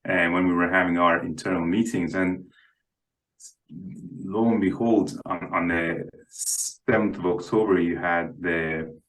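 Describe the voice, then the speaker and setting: Low-voiced man, halting market commentary. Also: steady tone, rough recording. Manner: hesitant, halting, often repeating words. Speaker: financial market analyst and guest.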